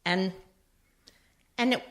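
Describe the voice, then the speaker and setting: A woman's voice: takes it slowly, lightly acted. A woman playing an earnest, devoted, melodramatic wife and mother in a comic radio play. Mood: grave, melodramatic.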